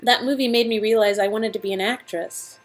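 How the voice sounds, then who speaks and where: A woman's voice: a little high, fairly even intonation, brisk, faint music behind, lightly acted. Woman playing an earnest young aspiring actress. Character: starry-eyed young aspiring actress from Iowa, earnest and teasing. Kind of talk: dramatic reading of visual novel game dialogue.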